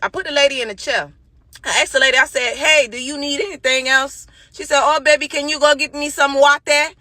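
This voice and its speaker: A woman's voice: sits high, steady tone, a little fast, sharp tone, no room sound. A sassy, emphatic woman telling a personal story casually, with some swearing.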